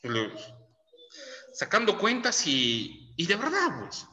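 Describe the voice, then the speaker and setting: Chatty Peruvian teacher in an echoey room. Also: male voice, sits high, wildly swooping pitch, slow pace, sharp tone. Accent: Peruvian Spanish. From Peru. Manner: chatty, lots of tag questions and fillers. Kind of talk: classroom lecture. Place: classroom. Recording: echoey room with long reverb.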